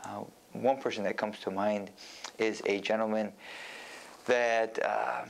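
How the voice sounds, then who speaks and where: Male medical presenter, lowish pitch with plenty of vocal fry, explaining in a measured, halting manner. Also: level tone, slight background noise, low-quality recording. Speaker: medical director and doctor presenting. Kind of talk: educational presentation on mental health.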